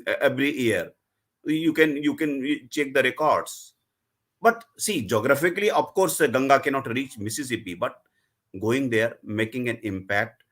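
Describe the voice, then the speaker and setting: A man's voice: medium pitch, fairly bright tone. Middle-aged guy on a festival panel, rambly storyteller, loves retelling scenes. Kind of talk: animated book discussion at a festival.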